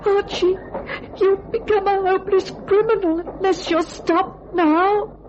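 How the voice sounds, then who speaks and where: Casting: earnest young waitress in a radio drama, very high pitch, pleading and halting; dull, hissy old recording. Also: female voice, fairly even intonation. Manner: halting, repeats words, earnest. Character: pleading, earnest young waitress urging her criminal boyfriend to reform. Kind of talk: old-time radio thriller acting. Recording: noisy, band-limited old radio recording.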